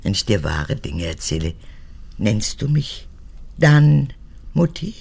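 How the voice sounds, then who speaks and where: A woman's voice: very animated, slow, a little mellow, heavily acted. An actress playing a capricious, manipulative old woman, an unrepentant former camp guard, in a radio drama, with theatrical swings between whispers and outbursts. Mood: sly, coaxing. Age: older. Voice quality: husky, gravelly, low.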